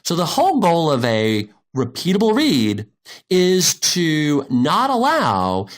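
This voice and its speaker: Man teaching, lively swooping intonation. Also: fairly high pitch, slow. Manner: explanatory, informal. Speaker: instructor. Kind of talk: software tutorial narration, demonstrating step by step.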